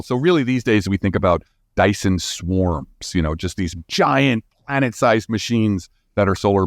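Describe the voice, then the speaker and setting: Animated man explaining science, very lively pitch. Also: no room sound, studio quality. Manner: emphatic, informal, thinking aloud. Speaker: guest scientist. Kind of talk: explanatory interview conversation.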